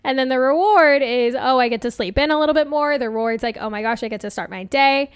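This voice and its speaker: A young adult woman hosting a solo coaching podcast, chatty and upbeat with lots of fillers, her voice a bit hoarse. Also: high voice, fast talker, no room sound, studio quality. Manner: chatty, upbeat, laughs often, many fillers. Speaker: podcast host and life coach. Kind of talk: casual solo podcast talk.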